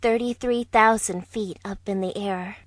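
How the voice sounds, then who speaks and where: A woman's voice: medium pitch, fairly even intonation, measured pace, natural voice. A young woman voicing a wry, reflective girl travelling alone, narrating in first person for an amateur fandub. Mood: musing. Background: background music.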